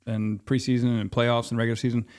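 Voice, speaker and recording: A man's voice: mid-range voice, fast talker. A man in his fifties telling stories casually as an interview guest, acting out bits of past conversations as he goes. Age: 50s. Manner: animated retelling with quoted dialogue. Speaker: podcast guest, former sports mascot performer.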